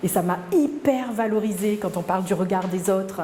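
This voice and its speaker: A middle-aged woman, speaking hesitantly and reflectively as an interviewee, with many pauses and restarts. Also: medium pitch, dark tone, slight background noise. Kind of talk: personal testimony interview. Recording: echoey room, long reverb, faint ambience.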